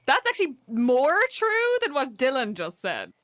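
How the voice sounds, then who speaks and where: A woman's voice: high-pitched, wildly swooping pitch, measured pace, fairly bright tone, no echo. A woman playing a tabletop game, talking casually as she describes her character and the rules. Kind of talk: casual improvised game chat.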